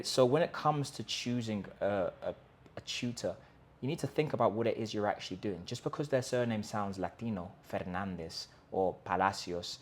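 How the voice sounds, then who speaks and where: A man with a fairly low voice delivers an emphatic talk to camera. He stacks up rhetorical questions and restarts his sentences as he pushes his point. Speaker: host of a language-learning channel. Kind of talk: direct-to-camera pitch talk. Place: in Colombia.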